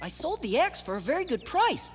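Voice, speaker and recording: A woman voicing an eager, earnest young boy with an American accent in an animated fairy tale. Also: sits high, a little fast. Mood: confident, cheerful. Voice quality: youthful, boyish. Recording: music under the dialogue.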